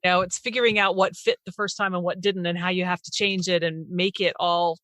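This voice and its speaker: A woman's voice: lowish voice, steady tone, brisk, a bit bright, dry recording, rough recording. A woman hosting a podcast, talking casually and conversationally with a guest. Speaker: podcast host and interviewer.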